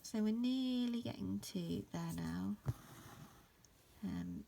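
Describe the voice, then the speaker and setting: A woman in her thirties with a croaky voice from hay fever, casually talking through an embroidery tutorial at home, full of ums and restarts. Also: mid-range voice, slow pace, slight background noise. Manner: relaxed, many fillers and restarts. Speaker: embroidery teacher. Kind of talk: casual stitch-along tutorial. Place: upstairs room at home.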